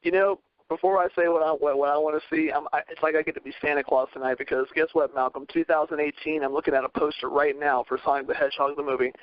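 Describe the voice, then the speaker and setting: A man on a podcast panel in casual discussion, rambling earnestly as he thinks out loud. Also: on the high side, fairly even intonation, quick pace, dry recording. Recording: dull, radio-like lo-fi audio.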